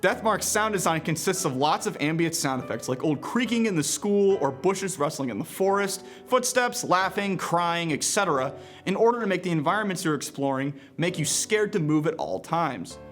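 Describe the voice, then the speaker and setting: A man's voice: a little high, lively intonation, normal speed, a bit bright, soft background music. A man narrating a scripted video game review in a playful, conversational manner, with casual asides and emphatic delivery.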